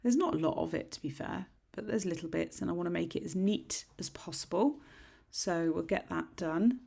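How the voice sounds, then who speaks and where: Husky woman, gravelly. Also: lowish voice, moderate pace, dark tone. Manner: chatty, rambling, self-deprecating. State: husky, a bit croaky. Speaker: nail technician. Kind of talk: casual tutorial chat. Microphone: desk microphone.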